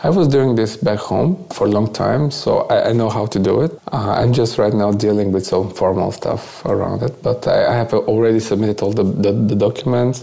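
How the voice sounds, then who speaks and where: Casting: male interview guest with a Bulgarian accent, mellow, gravelly tone, earnest and explanatory. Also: fairly low pitch, moderate pace, hardly any pauses. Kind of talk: conversational interview answers.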